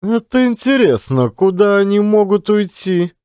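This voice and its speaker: Guy doing a bossy worried lady, higher voice, abrupt, lively pitch, audiobook drama. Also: measured pace, clean tone, dry recording, clean studio sound. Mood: alarmed, demanding. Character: anxious, imperious lady staying at a hotel.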